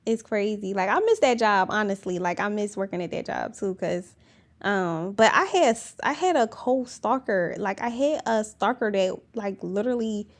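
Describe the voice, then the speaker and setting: A woman's voice: mid-range voice, animated, moderate pace. A young woman with a girlish, baby-like voice, hosting a podcast in a casual, rambling chat full of fillers, restarts and giggles. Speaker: podcast host.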